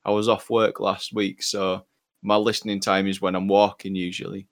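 A man's voice: low voice, flat delivery, moderate pace. A man co-hosting a podcast, chatting casually about music in a laid-back way with short agreeing replies. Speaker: podcast co-host.

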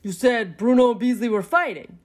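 A woman's voice: fairly high pitch, normal speed, a little mellow, pitched above the performer's own voice, lightly acted. Woman as a wry old raccoon dad. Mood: advising. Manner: easygoing, advising, colloquial.

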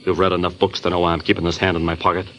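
A man's voice: sits low, little pitch movement, racing, fairly bright tone, faint music behind. An actor playing a curt, menacing American henchman in an old radio crime drama. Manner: curt, threatening, slangy. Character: tough, menacing male hoodlum, gangster's henchman. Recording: dull old radio recording.

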